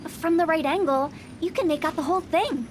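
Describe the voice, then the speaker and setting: A woman's voice: very high-pitched, fairly quick, very noisy. A young woman voicing a playful, mischievous female funeral director in a video game, teasing and mock-spooky. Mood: guiding.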